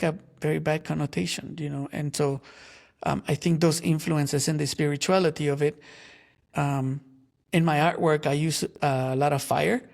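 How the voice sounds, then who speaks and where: A man from Guatemala who is an artist and a guest in an interview. He talks in a slow, reflective way, searching for words with many pauses. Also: a little high, vocal fry, darkish tone.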